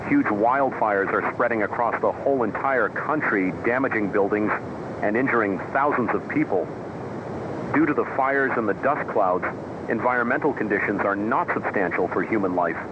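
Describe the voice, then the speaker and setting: A man's voice: moderate pace, natural voice. A man voicing an impersonal emergency alert announcer, reading formal scripted warnings in a fictional disaster broadcast. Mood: stern, impersonal. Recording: muffled, noisy emergency broadcast with rumble.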